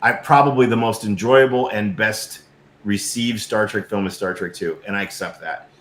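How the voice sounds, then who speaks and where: Middle-aged male guest, lowish voice, roomy echo with faint noise behind, emphatic and stammering in casual conversation. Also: moderate pace, fairly bright tone. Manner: effusive, emphatic, stammering and repeating words. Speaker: guest, science fiction creator and designer. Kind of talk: casual interview chat.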